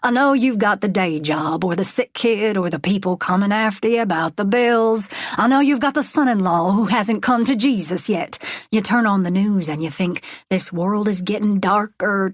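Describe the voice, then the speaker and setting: A woman voicing a folksy, charismatic male megachurch preacher, preaching with drawn-out words and dramatic pauses. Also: mid-range voice, no echo, natural voice. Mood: rousing. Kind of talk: audiobook character voice.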